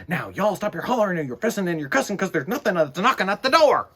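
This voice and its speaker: A man's voice: high-pitched, big pitch swings, fast talker, pushed and bright, slight background noise, pitched above the performer's own voice, full character voice. A man voicing an insistent country father in a storybook, folksy and scolding. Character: country pappy, gruffly insistent head of family.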